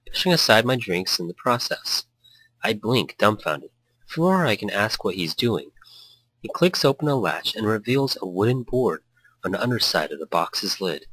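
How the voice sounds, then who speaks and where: Very low-voiced man, dry close sound. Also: fairly bright tone, no echo, poor audio. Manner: hyped, tangent-prone. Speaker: let's player reading a visual novel aloud. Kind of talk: narration mixed with casual rambling commentary.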